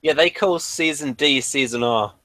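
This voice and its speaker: A man's voice: mid-range voice, moderate pace, sharp tone, no room sound. A man hosting a casual review discussion, moderating and moving things along. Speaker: podcast host.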